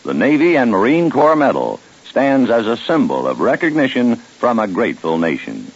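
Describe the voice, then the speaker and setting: A man's voice: mid-range voice, huge pitch swings, moderate pace, a little hiss or hum. A man with an American accent reading a formal scripted public service announcement on old-time radio. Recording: muffled, narrow old radio recording.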